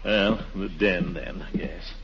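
An actor playing a blustering, self-pitying middle-aged commissioner and household uncle in 1940s radio comedy style, muttering and sputtering. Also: male voice, low-pitched, steady tone, slow. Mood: flustered. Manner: pompous, muttering asides and sputtering protests. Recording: muffled noisy 1940s radio recording.

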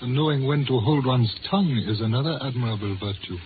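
A man's voice: mid-range voice, fast, lightly acted. An actor playing a stern, fearful Chinese restaurant-owning father, speaking formally and sententiously in a 1950s radio drama. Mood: guarded warning. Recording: noisy, tinny 1950s radio recording.

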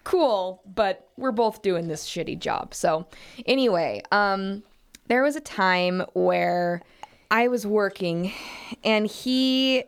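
A woman's voice: mid-range voice, slow, clear, resonant tone. A woman co-hosting a podcast, telling an anecdote in a casual, chatty way and acting out bits of dialogue. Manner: animated, acts out dialogue, frequent hedging. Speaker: podcast co-host telling a workplace story.

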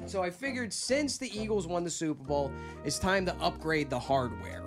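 Male podcast host, high voice, rambling casual storyteller, faint music under him. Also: moderate pace. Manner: rambling, animated storyteller who breaks into a squeaky put-on voice.

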